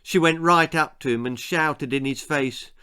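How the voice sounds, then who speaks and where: A man reading a children's story aloud as a dramatic, expressive narrator. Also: on the high side, a little slow, clear, resonant tone. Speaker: author reading his own story.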